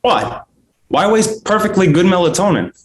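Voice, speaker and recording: A woman putting on a lower voice to read a cocky young male cousin at a table read. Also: big pitch swings, moderate pace. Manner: cocky, teasing.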